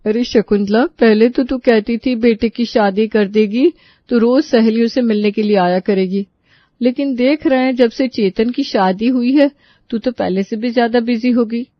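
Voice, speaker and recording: Woman playing dramatic, gossipy older aunty, higher-pitched. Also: normal speed, no echo, muffled recording, natural voice. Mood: nosy, chiding. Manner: complaining, dramatic. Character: exasperated, gossipy older Indian mother-in-law and her aunty friend. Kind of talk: acted audio story dialogue.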